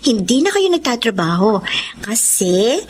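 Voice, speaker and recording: A hopeful Filipino schoolgirl, the caring eldest daughter in a radio drama. The voice is high and eager, full of earnest feeling. There is faint noise in the recording. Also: sing-song, unhurried, a little mellow. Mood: dreamy, hopeful. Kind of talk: Tagalog radio drama acting.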